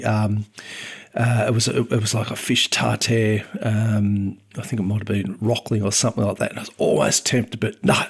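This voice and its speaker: A man's voice: lowish voice, vocal fry, slightly rough. A man with an Australian accent, co-hosting a podcast and chatting at length, rambling with many restarts. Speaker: podcast co-host. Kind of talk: casual podcast chat.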